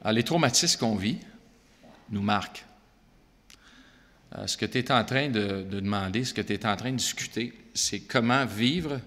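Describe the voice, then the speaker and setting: A man's voice: lowish voice, fairly bright tone, in a large hall. A man with a Québécois French accent, speaking earnestly and conversationally as a Bible school leader answering questions at a church gathering. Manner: earnest, conversational, with frequent fillers.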